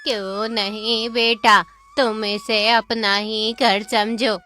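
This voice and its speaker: A woman acts out a scheming old village mother in a folk tale. The voice is sharp and bright, drawn out very slowly, with honeyed slyness and music underneath. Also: a little high, level tone. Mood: sweetly welcoming. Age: older. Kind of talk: Hindi folk tale voice acting.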